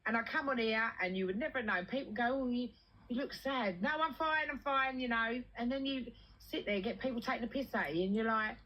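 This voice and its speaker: A woman's voice: fairly high pitch, a little fast, a bit bright, poor audio. A British woman chatting casually and venting in a blunt, sweary, colloquial way. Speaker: livestream host.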